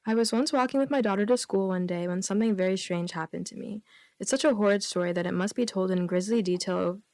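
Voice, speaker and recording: A woman's voice: medium pitch, quick pace, a little mellow, no echo. A woman chatting casually and informally, a bit hesitant, as a guest on a radio comedy show. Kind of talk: casual chat and joke reading. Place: small radio studio.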